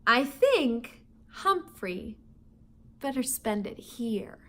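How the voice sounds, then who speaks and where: A woman voicing a kindly older woman, a teacher and wife, speaking softly and often in a hush. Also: a little high, sing-song, drawn-out words, heavily acted. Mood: gentle.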